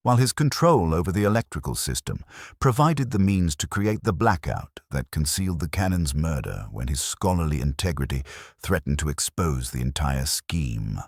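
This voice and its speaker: A man reading a scripted mystery story as narrator, in a formal, measured literary manner. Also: low voice, no room sound, studio quality. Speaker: audiobook narrator.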